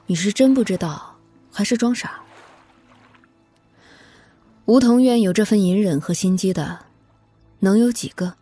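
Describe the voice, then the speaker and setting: An actress playing a prince's shrewd, exacting elder sister in an audio drama. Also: female voice, mid-range voice, measured pace, long pauses, mellow, breathy edge, no room sound, faint music behind. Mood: pointed.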